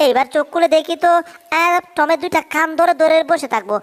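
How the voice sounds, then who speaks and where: Performer doing a small male cartoon mouse, squeaky-high, whining, greedy, exclamatory. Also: fast talker, natural voice. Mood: frightened. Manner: whining, exclamatory, self-narrating. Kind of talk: Bengali comedy cartoon dub.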